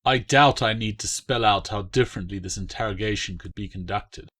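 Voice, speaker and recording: A shrewd Roman commander, played by a male audiobook reader. He speaks with measured authority, as one used to questioning prisoners and being obeyed. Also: fairly low pitch, fairly bright tone. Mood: stern, probing. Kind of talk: audiobook character voice.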